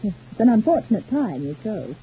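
A dull, slightly hissy old radio recording. An actress plays a brisk, demanding woman of melodrama, fairly high in pitch with sweeping intonation. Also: sing-song, natural voice. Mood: cool, clipped. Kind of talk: old radio soap opera acting.

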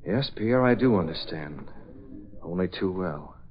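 An actor playing a calm, confident newspaper publisher with a reassuring manner, in a vintage radio drama. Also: male voice, low voice, a little slow, soft background music. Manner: reassuring, assured. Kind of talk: 1940s radio acting. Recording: dull old radio recording with faint hiss.

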